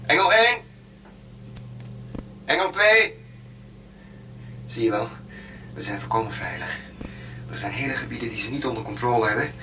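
A man's voice: mid-range voice, animated, normal speed, pauses often, bright, forceful tone, distant mic, soft background music, low-quality recording. An actor playing a bold, protective, rebellious young man on the run aboard a spaceship in a Dutch radio drama, with an urgent, commanding manner. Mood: assured, hushed.

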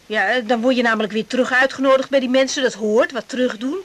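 Higher-voiced young woman doctor. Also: fairly even intonation, fast talker, a bit bright. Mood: confiding. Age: young adult. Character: young female family doctor, composed and direct. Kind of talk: naturalistic radio drama acting.

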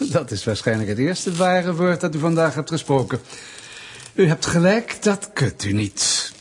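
A man's voice: on the high side, breathy edge, exaggerated voice. An actor playing a suspicious, smug state security interrogator in a radio play, pedantic and sardonic as he presses his questions. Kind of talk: Dutch radio play acting.

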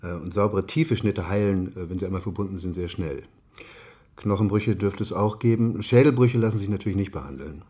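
A man's voice: low voice, a little fast, warm tone, close to an everyday voice. A man playing a matter-of-fact studio doctor in a radio play, clinical and searching for words. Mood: clinical, hesitant. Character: matter-of-fact male studio doctor explaining battle wounds. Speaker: studio medical expert. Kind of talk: acted expert interview in a radio play.

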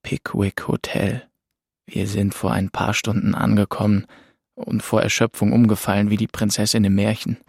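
A man's voice: lowish voice, level tone, moderate pace, gravelly, no room sound, studio quality. An actor playing a grieving young male student, narrating a German radio drama in the first person in short, introspective phrases. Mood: numb, desolate. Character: grieving, rootless 22-year-old male student, bitter and melancholy. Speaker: narrator and lead in an audio drama. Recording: music behind the voice. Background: music.

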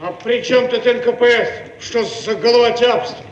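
An actor playing an indignant, excitable inventor in a theatrical radio play. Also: male voice, very high voice, moderate pace, muffled recording. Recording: distant, echoey, noisy archive radio recording.

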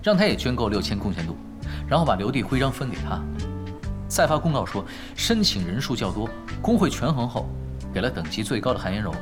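A man's voice: mid-range voice, big pitch swings, music in the background, lightly acted. A man playing a laid-back, shrewd young pro gamer in an audio drama, talking casually and wryly. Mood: calm scheming. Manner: casual, unhurried, wry.

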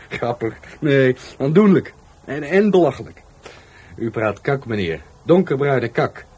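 An actor with a Netherlands Dutch accent playing a touchy, defiant law-school dropout in a radio play, by turns hesitant and scornful. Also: male voice, mid-range voice, animated, measured pace, a little mellow, breathy edge. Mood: scornful, irritated. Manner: hesitant, then scornful and argumentative. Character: defiant, touchy young male law-school dropout, murder victim's helper.

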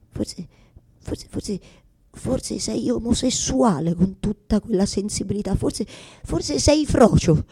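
An actress playing a bitter, self-mocking woman who argues with God in a halting, repetitive theatrical monologue. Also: medium pitch, gravelly, mellow, husky, exaggerated voice. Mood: soft, taunting, then accusing, loud. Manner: repetitive, halting, confessional, swinging from whispers to outbursts. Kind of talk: theatrical monologue, radio drama.

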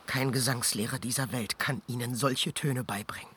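An actor playing an agitated young suitor in a German radio drama. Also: male voice, mid-range voice, steady tone, moderate pace, pushed and bright, a little hiss or hum, lightly acted. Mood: earnest. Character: agitated young suitor, mocking and pleading with his childhood sweetheart.

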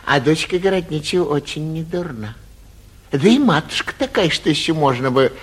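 A man playing a foppish, carefree young gentleman from the capital in a classic Russian stage comedy for radio, chatty and airy with a self-satisfied manner. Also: fairly high pitch, lively intonation, normal speed. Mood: contented, musing. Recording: muffled, husky 1949 radio theatre recording.

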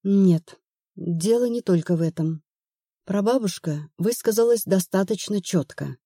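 A woman's voice: mid-range voice, unhurried, warm tone, dry recording, clean studio sound. A woman narrator playing an anxious, stubborn woman of thirty-one in an audiobook, plaintive and earnest. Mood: uneasy confiding.

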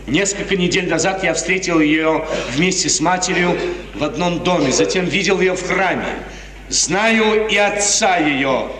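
An actor playing an imperious, moody, lovestruck prince in a declamatory 1950s theatrical radio drama style. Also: male voice, on the high side, fairly bright tone, close to an everyday voice. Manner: declamatory, exclamatory, haughty. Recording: echoey hall, distant stage microphone.

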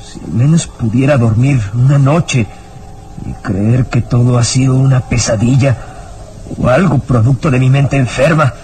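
A man's voice: mid-range voice, a little mellow, a little hiss or hum, natural voice. A man playing a gaunt, tormented man brooding in dread, in an old radio drama. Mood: anguished dread. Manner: anguished, brooding, slow dramatic monologue. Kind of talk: old Latin American radio drama acting.

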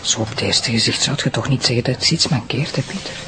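A woman's voice: very deep voice, quick pace, background noise. Earnest Flemish woman prosecutor. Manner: polite, probing. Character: earnest, assertive female deputy prosecutor. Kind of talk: radio drama acting.

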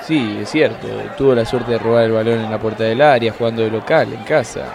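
A man's voice: medium pitch, fairly quick, lightly acted. An Argentine man playing a rustic defense counsel who talks in plain footballer clichés in a comic radio play. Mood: earnest, plodding. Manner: plain, cliché-laden athlete's post-match talk. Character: rustic male defender talking like an interviewed footballer. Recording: crowd noise behind the voice.

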